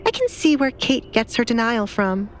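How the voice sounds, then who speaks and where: A woman's voice: a little high, sing-song, moderate pace, voice pushed up. A woman voicing a bitter, defiant young noblewoman in an audio drama, blunt and sarcastic, with light background noise. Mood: blunt, cold.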